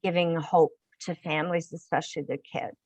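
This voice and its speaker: An older woman hosting a conversational interview, speaking in a halting way with phrases that trail off. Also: sits low, steady tone, a little mellow, breathy edge, no echo. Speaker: host interviewing guests.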